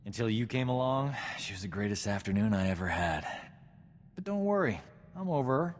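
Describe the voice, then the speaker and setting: Actor as a cocky doctor in a cavernous space. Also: male voice, on the low side, expressive, fairly quick, lightly acted. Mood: boastful. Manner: cocky, self-admiring, smooth-talking. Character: vain, flirtatious male doctor, boastful charming rogue. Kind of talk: video game cutscene acting.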